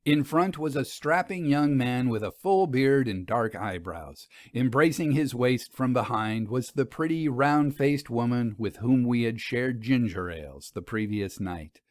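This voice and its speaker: A man reading a scripted story as narrator, in a measured, literary storytelling manner. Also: medium pitch, expressive, dry recording, studio quality.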